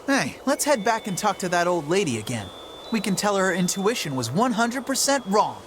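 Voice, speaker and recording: A brash young thief. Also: male voice, high-pitched, normal speed, noisy background. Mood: reassuring. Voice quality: lively, teasing. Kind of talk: video game dub acting.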